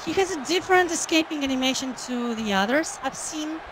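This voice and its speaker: A woman's voice: sits high, animated, a little fast, noisy background. A woman giving rambling, casual commentary over a wrestling video game, with many fillers. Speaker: gameplay commentator.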